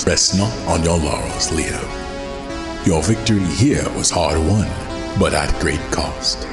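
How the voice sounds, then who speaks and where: A man's voice: a bass voice, unhurried. A man playing a solemn, wise mentor who narrates an adventure serial in a portentous, dramatic manner. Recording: echoey voice under loud music.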